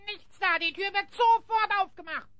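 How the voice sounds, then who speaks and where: Tiny sassy critter sidekick, super high squeaky voice, bright and sharp, radio play. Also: female voice, moderate pace, no echo. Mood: bossy. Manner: sarcastic, pert. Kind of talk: German audio drama acting.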